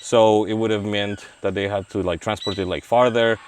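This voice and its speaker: A man from Mexico speaks English, his voice sitting a little low. He talks in a halting way, with restarts and fillers. He was recorded outdoors in the forest, with faint rain and noise behind him. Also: steady tone. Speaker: wildlife veterinarian. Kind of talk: casual interview. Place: outdoors in a rainforest release site.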